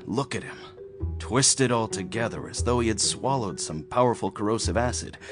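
Crime-scene official played by a male actor, pulp audio thriller, fairly low pitch, urgent dramatic delivery, music underneath. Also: animated, normal speed, lightly acted. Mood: grim, urgent.

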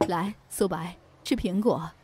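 A woman's voice: mid-range voice, big pitch swings, takes it slowly, background noise. A woman acting a middle-aged mother in an audio drama, fussy and motherly, by turns doting and scolding. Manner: fussing, motherly.

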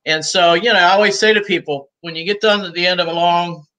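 A woman in her sixties, talking as a guest on a video call from home, chatty and easygoing and quick to laugh. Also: lowish voice, fairly even intonation, a bit bright, low-quality recording. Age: 60s. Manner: talkative and easygoing, laughs often. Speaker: guest, cigar shop owner. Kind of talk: casual interview chat. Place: room at home.

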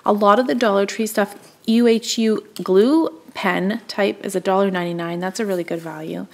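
Chatty woman with a gravelly creak. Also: mid-range voice, steady tone, moderate pace. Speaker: craft shopping vlogger. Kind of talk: casual shop-with-me narration. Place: indoors, in a store aisle.

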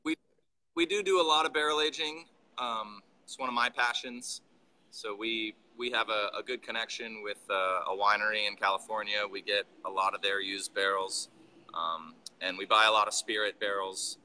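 Bright-voiced brewer on a video call. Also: male voice, on the low side, rough recording. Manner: relaxed, halting, with restarts. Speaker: brewery owner. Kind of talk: casual interview on a video call. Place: brewery building, indoors. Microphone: video call, phone or laptop camera.

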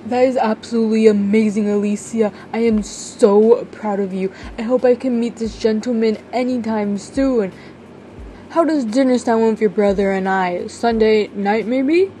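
A woman voicing a remorseful middle-aged father, halting and earnest, in an amateur roleplay drama. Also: a little high, fairly even intonation, warm tone, slight background noise, natural voice, rough recording. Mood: proud. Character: remorseful middle-aged father apologizing to his grown daughter.

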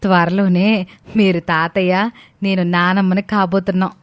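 A woman's voice: on the low side, flat delivery, normal speed, no echo, close to an everyday voice. Woman acting a caring older mother-in-law. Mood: glad. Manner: motherly, respectful.

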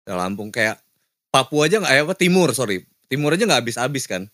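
A young man hosting a casual podcast chat, relaxed and rambling, on a desk mic in a small cafe studio. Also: mid-range voice, brisk, dry recording. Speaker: podcast host. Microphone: desk mic with headphones.

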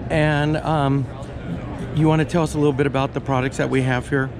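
A man's voice: mid-range voice, little pitch movement, fairly quick. A man hosting a casual interview from behind a handheld camera in an exhibition hall. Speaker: interviewer and video host. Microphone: handheld action camera. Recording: clear trade show hall background noise. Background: busy convention hall noise.

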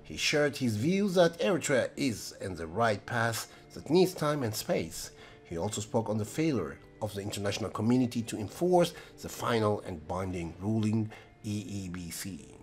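A man's voice: on the low side, lively intonation, normal speed. A man reads a scripted news-style voice-over in a plain, reportorial way. Faint music plays under his voice. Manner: reportorial, reading. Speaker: narrator.

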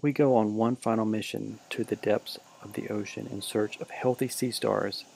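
A man giving deadpan mock-documentary narration, reading out a team roll call for a class parody film. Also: lowish voice, moderate pace, gravelly, dry recording, rough recording.

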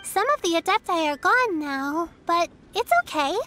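A woman's voice: very high voice, expressive, moderate pace, heavily acted. An actress voicing a cheerful, eager little girl who chatters earnestly in game dialogue. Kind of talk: video game character dialogue. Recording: game audio with soft music behind.

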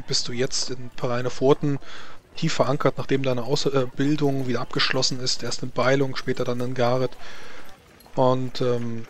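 Mid-pitched male game master, faint noise. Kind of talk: improvised tabletop game narration.

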